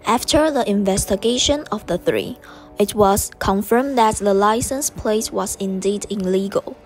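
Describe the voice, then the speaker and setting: A woman's voice: mid-range voice, measured pace. A woman with a Taiwanese accent reading scripted narration for a student English radio drama. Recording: narration over clear background music.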